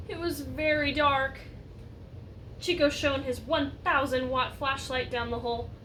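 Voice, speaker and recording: A woman with a high voice reads a children's story aloud in an animated, teacherly way. She engages young listeners with questions and asides. The mic sits at a distance, with light noise behind her. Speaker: teacher reading a picture book to children.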